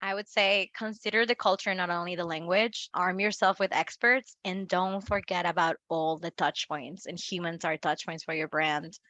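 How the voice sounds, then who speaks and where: A woman from Mexico, a native Spanish speaker, talking casually as a marketing guest in an interview, animated and laughing often with frequent restarts. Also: fairly low pitch, moderate pace, few pauses, dry recording.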